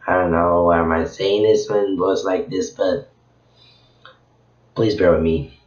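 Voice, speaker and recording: A man from Venezuela, hoarse from losing his voice, reading a story chapter aloud in a casual, halting way with frequent slips. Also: sits low, fairly even intonation. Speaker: channel host reading a story. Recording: echoey room, dull lo-fi sound.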